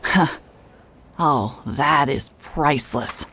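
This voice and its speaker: A hard-boiled private investigator, played by a woman, with a very low voice. She talks in a dry, sarcastic way and fires off quick retorts. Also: fairly bright tone, slightly rough, exaggerated voice. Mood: wry. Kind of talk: audio drama acting.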